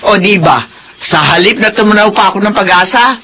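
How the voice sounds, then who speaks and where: A woman's voice: mid-range voice, fairly quick, pushed and bright, close to an everyday voice. A woman playing a sick, weary, stubborn mother in a radio drama, plaintive and emphatic. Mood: bitter, stubborn. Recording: faint background noise.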